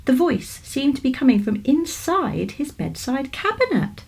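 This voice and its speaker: A woman with a British accent reading a children's story aloud in an expressive storytelling manner. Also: sits high, unhurried, gravelly. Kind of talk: scripted children's story narration.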